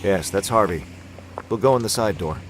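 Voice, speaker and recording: A confident gentleman sleuth acted by a man in old-time radio style. He is composed and reasoned, in a fairly low voice with faint noise behind. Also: normal speed, close to an everyday voice. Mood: explaining.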